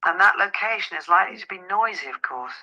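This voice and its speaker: A woman's voice: mid-range voice, animated, quick pace, sharp tone, lo-fi sound. A woman playing a polite, helpful estate agent in an acted phone dialogue, businesslike and courteous.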